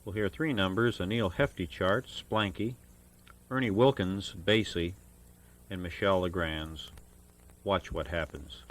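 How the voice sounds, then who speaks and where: Low-voiced man announcing on jazz radio. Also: measured pace. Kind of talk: scripted jazz radio announcing with track introductions. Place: radio studio. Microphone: broadcast studio microphone.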